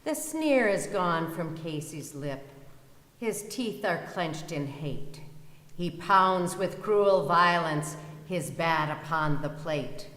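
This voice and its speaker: Female reader, lower-pitched and forceful. Dramatic verse recitation in a reverberant hall. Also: expressive, slow pace. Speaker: readers theater performer. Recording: very echoey hall, long reverb.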